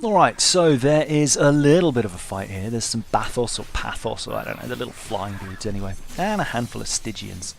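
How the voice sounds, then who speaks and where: Male gaming host, creaky voice, casual commentary, dry understated humor, slight background noise. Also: medium pitch, lively intonation, a little fast, hardly any pauses. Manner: wry, self-deprecating, understated.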